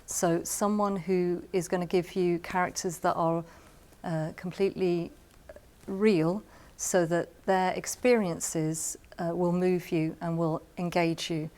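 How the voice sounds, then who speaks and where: A woman speaks on the low side. She explains things as a producer would in an interview, placing measured emphasis on her points. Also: fairly even intonation, a little mellow. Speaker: radio drama producer giving writing advice. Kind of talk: interview-style advice to camera.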